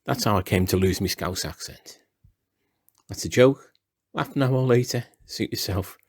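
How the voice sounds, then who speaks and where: A man in his sixties with a Liverpool accent, speaking in a relaxed, chatty way with dry self-deprecating humour. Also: on the low side, moderate pace, breathy edge. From Liverpool, England. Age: 60s. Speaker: playwright. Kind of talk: relaxed talking-head interview.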